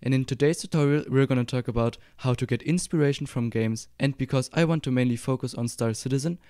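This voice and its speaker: German-accented man teaching Blender, crisp close voice. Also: medium pitch, dark tone, no room sound. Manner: upbeat, explanatory, slows down for instructions. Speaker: Blender tutorial YouTuber. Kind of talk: screen-recorded tutorial voice-over.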